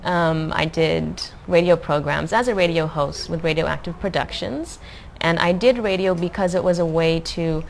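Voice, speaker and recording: A young adult woman from the Philippines, a guest answering interview questions in a calm, measured way. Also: low-pitched, creaky. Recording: faint background noise.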